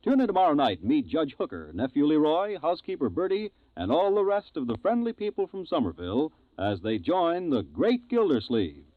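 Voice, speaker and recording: Dramatic vintage radio announcer man, swooping pitch. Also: wildly swooping pitch, brisk, hardly any pauses, no echo. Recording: vintage radio, phone-like band, music behind.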